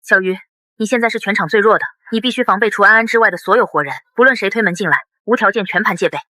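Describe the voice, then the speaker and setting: Woman playing an urgent, bright-voiced big sister. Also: medium pitch, very fast, no echo, natural voice. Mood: urgent warning. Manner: urgent, firm, instructing. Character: urgent, protective older-sister figure giving warnings. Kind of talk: animated drama dubbing.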